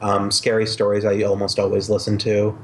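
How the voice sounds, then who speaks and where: A man talks casually on a podcast in a fairly low voice. He speaks as one of the panel. A little noise is audible in the background. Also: monotone, brisk.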